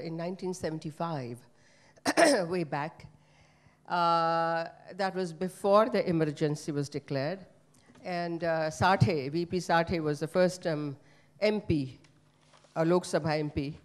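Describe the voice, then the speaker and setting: An older woman whose first language is Gujarati speaks into a handheld microphone in a conference hall, giving conversational panel remarks with many fillers. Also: on the low side. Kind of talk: panel remarks at a book launch.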